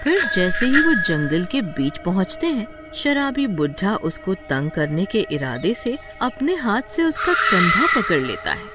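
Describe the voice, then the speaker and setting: Storyteller for scripted horror tales, expressive pitch movement, loud music underneath. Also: a little slow, few pauses.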